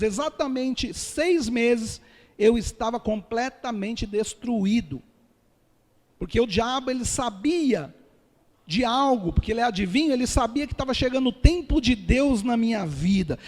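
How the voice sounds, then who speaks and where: A man's voice: very high voice, expressive, normal speed, a little mellow. A man with a Brazilian Portuguese accent, a pastor giving an earnest testimony sermon and calling his listeners dear ones. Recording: long hall reverb.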